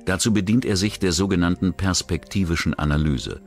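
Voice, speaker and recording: A man giving a measured, grave scripted narration for a crime documentary. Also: low-pitched. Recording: voice-over over loud music.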